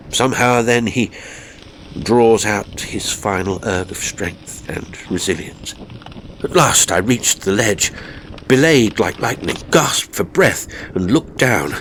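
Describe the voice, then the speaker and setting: Guy doing a grim seasoned climber telling a spooky story, formal n intense, real slow, some noise behind. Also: on the low side, fairly bright tone, rough recording. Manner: measured, formal, gravely intense. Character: seasoned male mountaineer, haunted, grimly recounting a fatal climb. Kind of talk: dramatic first-person horror storytelling.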